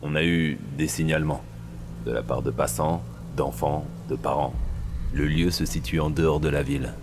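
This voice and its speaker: A male actor plays a paternal male police superior with an extremely deep voice. He briefs his agent in a measured, confiding, reassuring way. Music plays clearly behind him, as in a thriller audio drama. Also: fairly even intonation. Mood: grave, confiding. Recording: background music under the voice.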